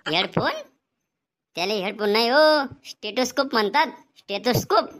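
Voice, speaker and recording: A man's voice: high-pitched, expressive, normal speed, a bit bright. A man voicing a cheeky, doubting male patient in a comedy cartoon, complaining and arguing in a Vidarbha Marathi accent. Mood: argumentative. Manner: complaining, argumentative.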